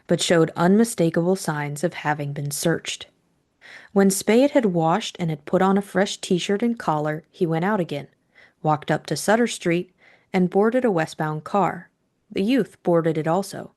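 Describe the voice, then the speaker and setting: Lowish-voiced woman narrating fiction. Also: a little mellow. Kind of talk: scripted audiobook narration.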